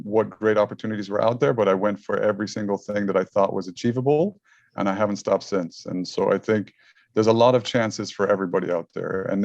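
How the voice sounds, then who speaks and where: A man's voice: on the low side, a little mellow, no echo, highs cut off. A man speaking as an interview guest over a remote call, earnest and repeating his words as he talks. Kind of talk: interview, casual talk.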